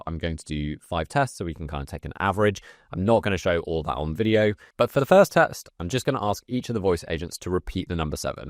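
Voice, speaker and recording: A man with a very deep voice presents a screen-recorded tutorial. He explains things step by step in an informal manner, and the sound is close and very dry. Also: dry recording. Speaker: presenter of a software comparison video.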